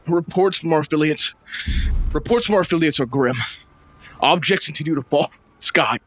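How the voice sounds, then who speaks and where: A man's voice: a little high, a little fast, fairly bright tone, slight background noise, lightly acted. A man playing a grave, urgent radio news reporter holding his post during an invasion, in old-time radio drama style. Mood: grim, shaken.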